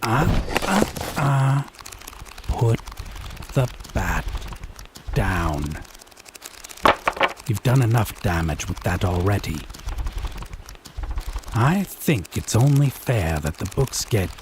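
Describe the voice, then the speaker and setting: A man playing a haughty, teasing, possessive fae in a scripted audio roleplay, speaking in wry, theatrical, deliberate phrases. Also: fairly low pitch, very animated, very noisy. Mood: teasing, indignant.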